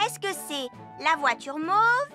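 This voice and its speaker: Cartoon girl cadet: piercingly high, earnest, patient explainer, music prominent behind. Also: sing-song, slow pace, pushed and bright, full character voice. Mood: patient, instructive. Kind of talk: French-dubbed children's cartoon acting. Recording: prominent children's music underneath.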